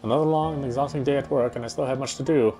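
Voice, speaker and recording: A man's voice: mid-range voice, steady tone, very fast, dark tone, soft background music. A man reading first-person story narration aloud in a dramatic way as he plays a visual novel.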